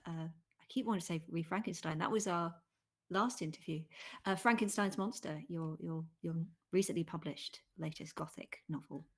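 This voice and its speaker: A woman with a slightly low voice hosts an informal interview. She is chatty and encouraging, putting her questions in a casual, conversational way. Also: normal speed, husky. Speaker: interviewer, host.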